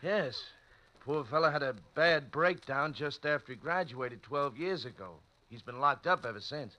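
A man playing a calm hospital attendant explaining a patient's escape, in a 1940s radio drama. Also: medium pitch, normal speed, lightly acted. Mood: matter-of-fact. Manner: plain, matter-of-fact.

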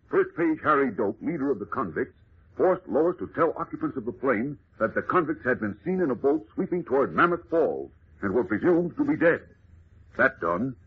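Dramatic male radio announcer, very lively pitch. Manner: dramatic, emphatic delivery. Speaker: announcer and narrator. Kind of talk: 1940s radio serial narration, theatrical. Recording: muffled old radio, faint noise.

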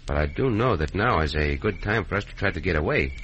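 An actor playing a calm, gallant Mexican cowboy hero in an old radio western, speaking formally and carefully. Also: male voice, deep voice, fast, lo-fi sound. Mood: calm, planning. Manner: formal, careful, uncontracted speech. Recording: noisy old radio recording.

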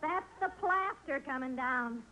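Casting: wry American wife, patient and sensible, lively highish voice, vintage radio sitcom. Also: female voice, a little slow, exaggerated voice. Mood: dry exasperation. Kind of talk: 1940s radio comedy acting. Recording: noisy old radio broadcast recording.

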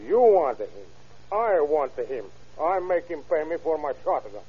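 An actor playing an indignant Italian immigrant who protests in broken English with a heavy accent, in an old radio crime drama. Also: male voice, fairly high pitch, sing-song, a little slow, breathy edge, exaggerated voice. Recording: muffled vintage radio show recording.

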